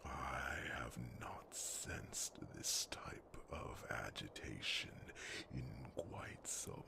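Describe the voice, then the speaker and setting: A man voicing a grave, wise fantasy creature of the forest in ASMR roleplay, with a breathy, gravelly whisper, formal phrasing and murmured pauses. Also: a bass voice, big pitch swings, exaggerated voice. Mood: wary, troubled.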